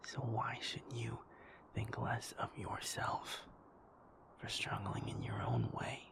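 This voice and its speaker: A man playing a tender, protective werewolf partner in an intimate ASMR roleplay, speaking gently and haltingly in a breathy, gravelly near-whisper. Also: fairly low pitch, exaggerated voice. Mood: soothing. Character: tender, protective male werewolf partner comforting a sleepless lover.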